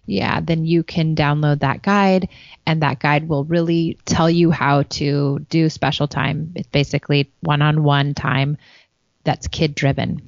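Woman co-host, low voice, animated storyteller; muffled, dry recording. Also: a little slow, creaky, dry recording. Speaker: podcast co-host. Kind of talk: casual chat.

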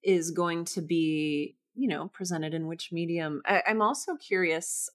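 Slightly low-voiced woman, curious interviewer. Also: a little slow. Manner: curious, engaged, thinking aloud. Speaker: podcast host and interviewer. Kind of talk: casual conversational interview.

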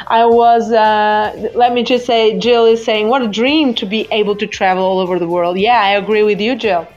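Portuguese woman hosting a casual call-in, accent, slower halting talk, muffled lo-fi sound. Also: a little high. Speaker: host of a live call-in show. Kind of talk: casual live chat with listeners. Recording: dull lo-fi app audio with music. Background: music.

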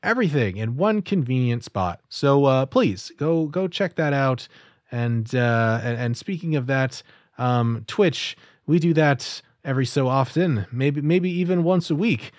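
A man's voice: mid-range voice, expressive, a little slow, darkish tone, no echo, studio quality. A man hosting a gaming podcast, chatting in an easygoing way and plugging the show. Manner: easygoing, chatty, many fillers. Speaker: podcast host. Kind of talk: casual podcast chat and outro.

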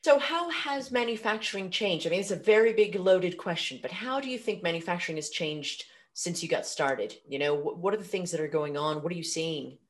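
Low-voiced woman hosting a podcast interview. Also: expressive, brisk, hardly any pauses. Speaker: podcast host.

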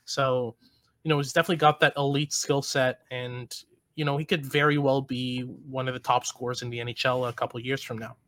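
A young man hosts a hockey podcast and breaks down draft prospects in an analytical way, with plenty of ums and restarts. His voice sits at an ordinary pitch and is recorded close and dry, with no room sound. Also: a bit bright, no echo. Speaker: podcast host.

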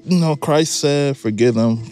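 A man's voice: a little high, unhurried, darkish tone, faint music behind. A man from Chicago who spent part of his upbringing in Nigeria, talking casually as an interview guest. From Chicago, United States, partly raised in Nigeria.

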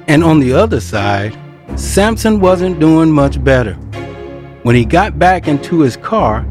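A man narrating an audio drama as a storyteller, with wry, colloquial asides. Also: medium pitch. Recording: music bed behind narration. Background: music.

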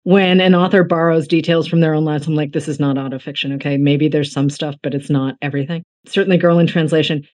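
A woman from the United States hosting a casual book interview, talking informally with animated, self-deprecating asides. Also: deep voice, fast, hardly any pauses. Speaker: interviewer, host.

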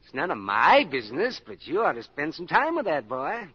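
A man's voice: a little high, huge pitch swings, moderate pace, husky, exaggerated voice. A man acting a chatty, friendly, meddling male neighbor in a 1950s radio drama. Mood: friendly, chiding. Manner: folksy, effusive, nosy. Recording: dull 1950s radio broadcast recording.

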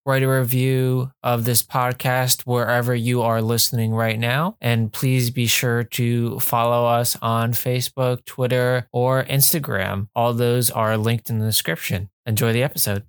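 A man's voice: medium pitch, level tone, measured pace, hardly any pauses, smooth, no room sound, studio quality. A man hosting a casual movie review podcast, bantering wryly with his co-host. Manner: wry, bickering with co-host.

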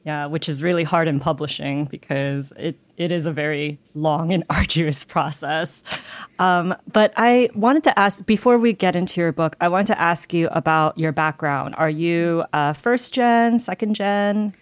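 Host, young woman, low voice, dry recording, chatty. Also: a little slow, no room sound. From came to the United States at age three. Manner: chatty, filler-heavy, friendly questioning. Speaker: podcast host. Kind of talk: casual interview questions.

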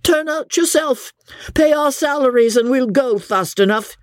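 A woman's voice: high voice, animated, a little slow, dry recording, clean studio sound, voice pushed up. A woman voicing a drunken, surly male footman in an audiobook, with a working-class English accent and dropped endings. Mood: defiant. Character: drunken, surly, defiant footman, adult man.

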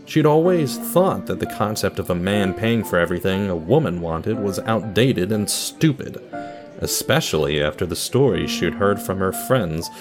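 A man's voice: sits low, expressive, normal speed, over music. A man reading scripted narration for an audio drama, telling a story in a dramatic style.

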